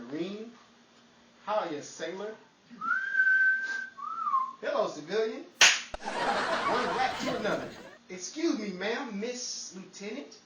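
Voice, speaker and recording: A man's voice: high-pitched, full character voice. A man acting as a vain, easily flustered 1940s radio host, with a theatrical comic delivery. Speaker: host actor. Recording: echoey room, distant mic, loud crowd noise.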